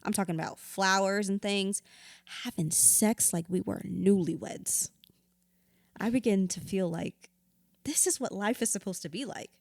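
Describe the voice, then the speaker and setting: A woman's voice: medium pitch, a little slow, gravelly, dry recording, studio quality. A woman acting in an audio drama as a grieving widow in therapy, wounded and candid, reflective with a wry edge. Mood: wistful, animated.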